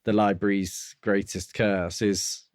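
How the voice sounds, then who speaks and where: A man with a fairly low voice chats as a podcast co-host about a book. He muses tentatively, feeling his way through theories. The recording is very dry and free of echo. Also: flat delivery, slow pace. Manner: tentative, musing, theorising aloud. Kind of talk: casual book discussion.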